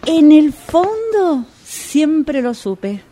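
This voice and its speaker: Radio play: actress as Argentine woman, aggrieved and credulous loyal client, confiding storyteller with very animated delivery. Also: high-pitched, slight background noise, exaggerated voice. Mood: wounded, confiding. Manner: confiding, dramatic storytelling with pauses. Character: gullible, resentful woman, loyal longtime client of a fortune teller. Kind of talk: radio drama acting.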